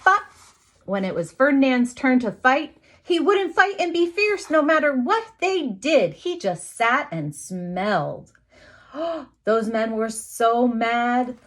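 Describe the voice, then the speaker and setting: A woman teacher reading a picture book aloud to young children, expressively, with dramatic emphasis, pauses and asides to her listeners. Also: sits high, sing-song, fairly bright tone.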